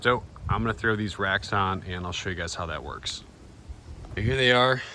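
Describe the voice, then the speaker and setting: Casting: American male DIY vlogger, lowish voice, laid-back and plain-spoken, recorded on the go with clear vehicle and ambient noise. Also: expressive, moderate pace, bright, forceful tone. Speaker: vlogger showing DIY van upgrades. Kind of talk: casual DIY walkthrough to camera.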